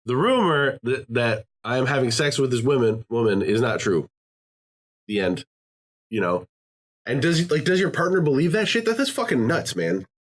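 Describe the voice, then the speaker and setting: A man's voice: medium pitch, sing-song, normal speed. A man hosting a podcast, talking casually, rambling with many fillers and restarts. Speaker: podcast host. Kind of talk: casual chat.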